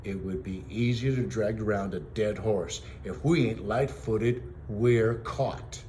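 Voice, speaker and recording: Man acting a gruff, bullying outlaw in a storybook. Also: on the low side, takes it slowly, dark tone. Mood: angry, threatening. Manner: growling, bellowing threats in rustic slang. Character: big hairy gruff bullying male outlaw, greedy and angry. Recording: noisy home recording.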